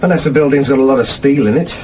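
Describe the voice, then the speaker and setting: A man's voice: mid-range voice, racing, darkish tone, close to an everyday voice. A man playing a deferential, matter-of-fact junior surveillance technician in a radio drama. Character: dutiful, literal-minded junior male surveillance technician. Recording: dull sound, vehicle noise.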